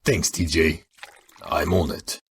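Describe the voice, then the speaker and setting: A man playing a hard, confident militia lieutenant in an audio drama, reporting in a measured, assured way. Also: rumbling low, steady tone, background noise, full character voice. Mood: confident, businesslike.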